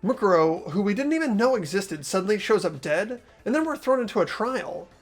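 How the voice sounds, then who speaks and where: A woman's voice: mid-range voice, sing-song, fairly quick, soft background music. A woman playing an earnest, flustered teenage student in a game, stammering and pleading urgently. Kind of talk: hobby game voice acting.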